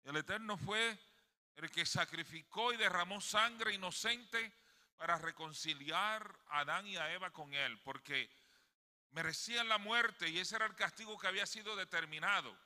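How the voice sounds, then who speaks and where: A man's voice: high-pitched, normal speed, bright, forceful tone. A man with a Puerto Rican accent preaches a sermon emphatically, often checking for agreement from his congregation. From Puerto Rico. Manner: emphatic, often seeks agreement with tag questions. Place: indoors in a sanctuary. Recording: long hall reverb.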